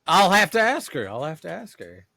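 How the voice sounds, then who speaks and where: An upbeat man from Denver hosts a casual gaming podcast, rambling happily through lots of fillers and restarts. His voice sounds bright and forceful. The recording is dry and close to the mic. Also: sits high, big pitch swings, normal speed, creaky, dry recording. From Denver, USA. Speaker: podcast host.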